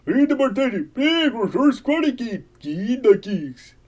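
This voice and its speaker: A man voicing an excitable immigrant homeowner with halting, garbled speech in a comic puppet radio play. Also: very high-pitched, heavily acted. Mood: flustered pride.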